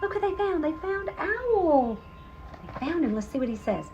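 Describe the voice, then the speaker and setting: A woman's voice: high-pitched, big pitch swings, moderate pace, darkish tone, muffled recording, slight background noise. A woman reading a storybook aloud to children as a teacher, with asides to her young listeners.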